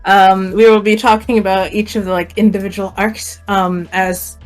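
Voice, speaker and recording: Woman podcast host, mid pitched, chatty and eager, music playing behind her. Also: little pitch movement, moderate pace. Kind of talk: casual group chat.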